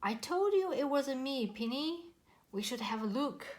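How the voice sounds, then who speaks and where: Female reader as an earnest animal pal in a picture book, bright-eyed and bossy, emphatic, with high lively pitch. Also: measured pace, a little hiss or hum. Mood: insistent. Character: Ginny and Penny, earnest bossy animal friends. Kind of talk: children's storybook character voice.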